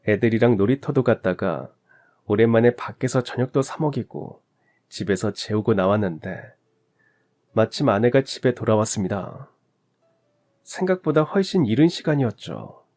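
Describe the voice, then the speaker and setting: A man calmly narrating a family story as the husband, in a scripted, sympathetic storytelling manner. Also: lowish voice.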